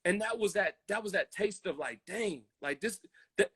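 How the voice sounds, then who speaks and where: A man with a high-pitched voice and an American accent talks casually on a podcast. He is emphatic and impassioned, repeating phrases for weight and voicing imagined quotes. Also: expressive, moderate pace, a bit bright, husky. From military family, grew up in Germany, Colorado and Hawaii. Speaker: podcast co-host.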